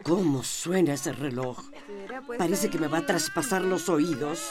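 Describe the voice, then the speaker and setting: A woman's voice: deep voice, unhurried, a crowd in the background. An actress playing an anxious woman worried about her family in a radio soap.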